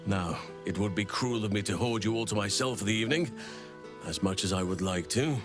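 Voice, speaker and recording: A low male voice of an actor as a big, gentle druid guardian in a fantasy game. He is wise and warm-hearted, with courtly, old-fashioned phrasing that never rushes. Soft music plays behind him. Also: lightly acted. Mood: fond, amused. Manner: formal, courtly, unhurried phrasing. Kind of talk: fantasy video game dialogue.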